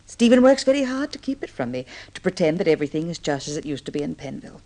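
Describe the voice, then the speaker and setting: Casting: a tender, devoted wife, middle-aged, lowish voice with lively swings, vintage radio drama. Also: female voice, fast, rough recording. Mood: confiding, pained. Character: gentle, devoted blind wife, quietly knowing. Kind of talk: old-time radio drama acting.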